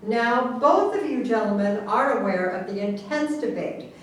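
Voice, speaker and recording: A woman's voice: on the high side, lively intonation. Woman acting pompous government official in echoey room. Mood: formal, secretive. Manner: pompous, lecturing, deliberate emphasis. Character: government official earnestly explaining absurd secret science. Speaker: actor in a staged reading. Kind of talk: theatrical staged reading of a satirical play. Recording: very echoey room, distant mic, faint noise.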